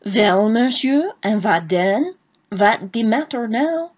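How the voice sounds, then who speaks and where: A woman voicing a vain elderly French woman with a French accent, who speaks broken English slowly and primly. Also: on the high side, expressive. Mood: indignant. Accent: French (put on). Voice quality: broken English, slow and mincing.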